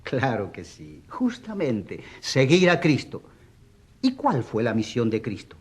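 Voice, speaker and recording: An eloquent defence lawyer in a courtroom radio drama. His mid-pitched voice swings widely as he argues with emphatic rhetoric and ironic politeness. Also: huge pitch swings, slow, slightly rough, full character voice. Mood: sardonic, triumphant.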